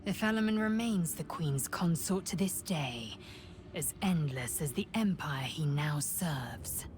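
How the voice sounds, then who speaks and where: A proud warrior woman of an alien race, lowish pitch, unhurried, solemn, scornful, devout, fantasy game dialogue over music. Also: expressive. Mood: reverent. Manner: measured, formal, solemn.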